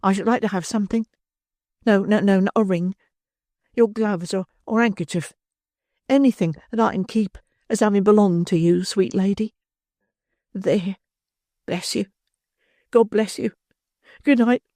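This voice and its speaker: A woman's voice: medium pitch, measured pace, long pauses, mellow, no echo, voice pushed up, close to an everyday voice. A woman voicing a desperate, frightened young woman of the streets in an agitated, pleading way.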